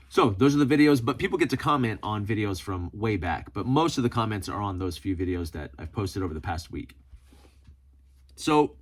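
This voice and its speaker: A man hosting a casual solo vlog, talking informally with self-deprecating humor as he reads and answers comments. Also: fairly low pitch. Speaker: host of a preparedness video channel.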